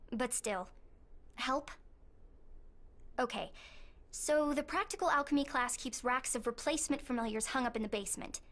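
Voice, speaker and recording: An actress playing an anxious, eager teenage schoolgirl with frightening powers in a video game, talking informally, haltingly and earnestly. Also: a little high, fairly bright tone, close to an everyday voice. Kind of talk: video game cutscene acting.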